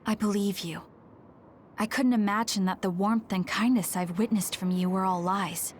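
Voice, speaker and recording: A woman's voice: medium pitch, fairly even intonation, normal speed, slight background noise, natural voice. A woman playing a forthright, decisive young squad leader in an English video game dub, direct and matter-of-fact. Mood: sincere.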